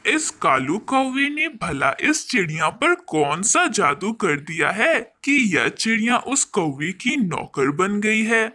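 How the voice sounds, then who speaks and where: Cartoon pigeon, male, played by a woman: brotherly neighbour, earnest, questioning, bright and lively. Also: medium pitch, slow, hardly any pauses, exaggerated voice. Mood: suspicious. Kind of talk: Hindi cartoon story voice acting.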